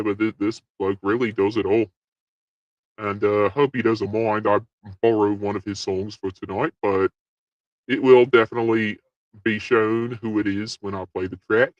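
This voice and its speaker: A man's voice: lowish voice, fairly bright tone, no room sound, muffled recording. A man hosting an advice show for men, talking in a hearty, blokey, repetitive pep-talk manner. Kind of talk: comic persona talk show.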